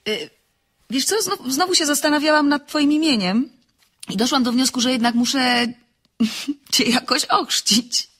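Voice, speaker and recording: A woman's voice: sits high, measured pace, pauses often, a bit bright, breathy edge, exaggerated voice. An actress playing an impulsive, inquisitive, chatty woman in an audio drama, with a theatrical manner. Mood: playful, musing. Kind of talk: audiobook radio drama acting.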